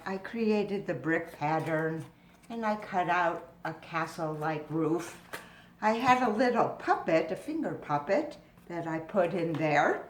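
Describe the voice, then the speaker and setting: A woman giving an informal craft demonstration with storytelling, explaining things step by step to parents in a measured way. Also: fairly low pitch. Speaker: library craft presenter. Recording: roomy echo with noticeable background noise.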